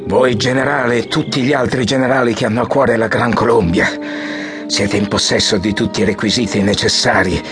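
An actor playing a weary, embittered, ailing general in an Italian audio drama, theatrical, resigned and testily commanding. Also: male voice, mid-range voice, steady tone, a little fast, music in the background, lightly acted. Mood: agitated, imperious.

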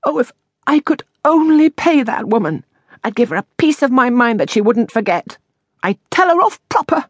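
High, fluttery, indignant lady lodger. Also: sing-song, moderate pace, breathy edge, no room sound. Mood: furious muttering. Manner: theatrical, exclamatory. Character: stout down-on-her-luck lady lodger, indignant, fluttery, self-pitying.

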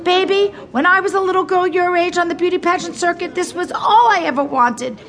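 A woman's voice: extremely high pitch, a little fast, a bit bright. An actress playing a pushy, gushing pageant mother who talks to her young daughter with breathless, exaggerated emphasis in an audio drama. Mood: coaxing, insistent.

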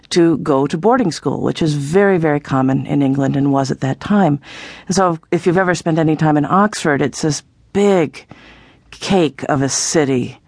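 A woman's voice: deep voice, darkish tone, no room sound. Female literary expert, conversational interview delivery, very dry close sound.

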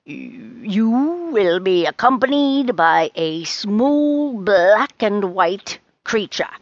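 A woman's voice: mid-range voice, expressive, drawn-out words, dry recording, lo-fi sound. A woman playing an eccentric, brusque old woman who tells fortunes in a storybook, with theatrical, mysterious pronouncements. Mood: mystical.

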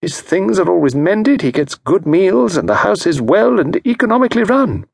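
A man's voice: sits high, big pitch swings, vocal fry, darkish tone, husky, dry recording, studio quality, pitched above the performer's own voice, full character voice. A man voicing an aggrieved middle-aged wife, bursting out with jealous complaints. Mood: miserable. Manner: rushed, complaining outbursts.

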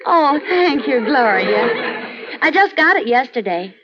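A woman's voice: sits high, very animated, takes it slowly, a crowd in the background, full character voice. A woman playing a cheerful, coaxing wife in a 1940s radio sitcom, laughing often. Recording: lo-fi old radio with studio audience and hiss. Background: studio audience laughter.

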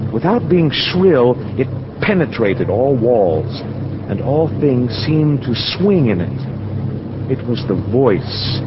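Solemn slow-reading man, lo-fi. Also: medium pitch, noisy background. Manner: slow, solemn, incantatory delivery. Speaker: narrator. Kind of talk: dramatic radio-theater reading.

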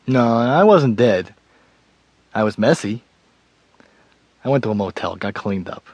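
A troubled husband in a naturalistic radio drama. His voice sits fairly low and is recorded dry, with no room around it. He is halting and evasive, covering his guilt with wry, self-deprecating remarks. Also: lively intonation, a little mellow, slightly rough, no echo, dull audio. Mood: weary, wry.

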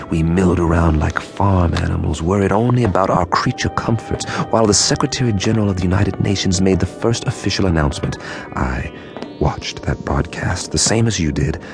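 A grave, rueful witness tells his story in a very deep, creaky voice. He is brooding and reflective, pausing heavily in a scripted radio drama, with music behind him. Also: darkish tone. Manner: brooding, deliberate, reflective, with weighty pauses. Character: somber, rueful man recounting a calamity. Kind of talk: scripted first-person radio drama narration.

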